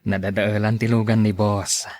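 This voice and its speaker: A man's voice: lowish voice, level tone, normal speed, a little mellow, lightly acted. A man with an Ilocano accent acting an evasive, defensive husband in a radio drama. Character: evasive cheating husband, defensive, then pleading.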